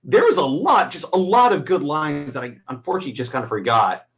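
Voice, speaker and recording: A man's voice: fairly high pitch, sing-song, quick pace, a bit bright. A man chatting casually as co-host of a film review, rambling as he retells scenes.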